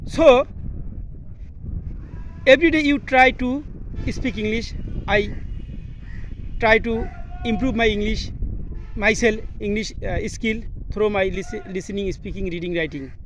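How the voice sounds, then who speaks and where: A man from Bangladesh, a native Bengali speaker with a Bangladeshi accent, giving an instructional vlog outdoors in halting, simple English. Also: high voice, fairly bright tone, poor audio. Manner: halting, simple learner's English with short broken phrases. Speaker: English teacher and video host. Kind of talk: instructional vlog, talking to camera. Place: outdoors, open scenic spot. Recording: outdoor noise, faint birds calling.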